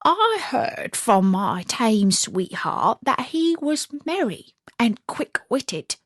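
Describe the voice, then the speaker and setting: A woman plays a friendly gentleman crow from a storybook, gossipy and courteous. The bird explains things slowly and carefully, with a pitch that rises and dips in lively sweeps. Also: no echo, clean studio sound, heavily acted. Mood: informative, chatty. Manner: measured, explanatory, gossipy telling.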